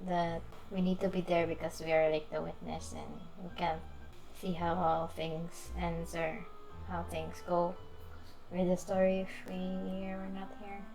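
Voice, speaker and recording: A woman streaming a game, giving casual, halting reactions as she thinks aloud. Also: lowish voice, darkish tone, poor audio. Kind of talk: casual reaction commentary over gameplay.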